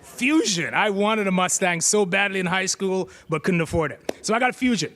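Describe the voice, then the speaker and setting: Middle-aged male presenter, high-pitched, playful and chatty, live demo with handheld mic. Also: animated, normal speed, a bit bright. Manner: playful, chatty, jokes with the crowd. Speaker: presenter giving a tech demo. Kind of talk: live stage demo to an audience. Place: stage at a tech meetup.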